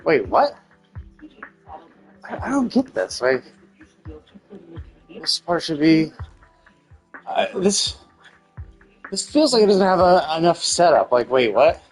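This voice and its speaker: A man's voice: on the high side, very animated, slow pace, pauses often, faint music behind, rough recording. A man commentating casually on a live game stream, thinking aloud in a hesitant, rambling way and repeating himself, with casual swearing.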